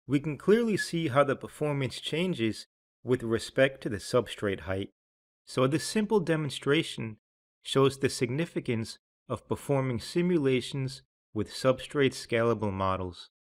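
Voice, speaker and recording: Guy doing a software demo voiceover, mid pitched, measured and explanatory, reading from a script. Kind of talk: scripted tutorial narration.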